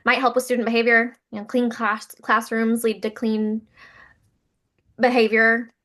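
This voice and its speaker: A woman's voice: a little high, level tone, measured pace, fairly bright tone. A woman playing an eager, slightly awkward young teacher in a sitcom table read, chatty and hesitant. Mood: hopeful pitching. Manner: chatty, hesitant, people-pleasing. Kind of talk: sitcom table read, mockumentary talking heads.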